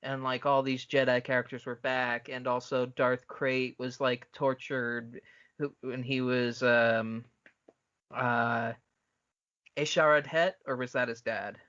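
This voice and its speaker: A man with a bright, punchy voice chats casually as a podcast co-host. He is blunt and opinionated, swearing freely. The audio is somewhat dull and lo-fi. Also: medium pitch, level tone, slow. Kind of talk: casual, profane fan discussion.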